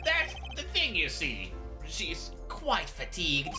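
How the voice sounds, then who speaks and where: A woman's voice: deep voice, huge pitch swings, slow pace, exaggerated voice. A woman voicing a nervous male prosecutor who hesitates over his excuses, with faint music underneath. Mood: flustered.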